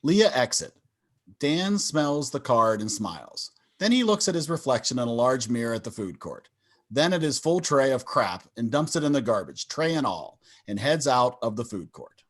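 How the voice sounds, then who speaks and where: A man's voice: medium pitch, big pitch swings, moderate pace. A man reading stage directions as the narrator of a scripted table read. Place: video call at a table read.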